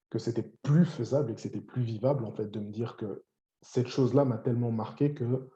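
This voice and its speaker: A man, a coach and channel host, giving a measured, earnest personal testimony in a reflective tone. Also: lowish voice, mellow. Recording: dull lo-fi sound.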